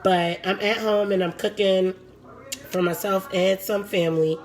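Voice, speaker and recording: Raspy woman chatting through a cooking stream. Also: mid-range voice, fairly even intonation, measured pace. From Puerto Rico. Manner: chatty, talks to live viewers while cooking. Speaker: home cook hosting a cooking stream. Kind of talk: casual live cooking demo. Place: home kitchen.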